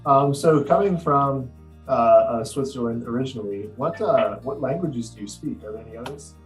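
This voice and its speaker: Halting young male interviewer on a video call. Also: medium pitch, soft background music, rough recording. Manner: hesitant, halting with fillers. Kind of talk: interview questions. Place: office with window blinds. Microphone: video call webcam.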